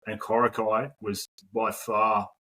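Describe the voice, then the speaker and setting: A man's voice: on the low side, little pitch movement, slow, pushed and bright. An Australian man in his forties, a podcast guest talking casually, rambling with lots of fillers and the odd swear word. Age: 40s. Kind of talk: casual conversational interview.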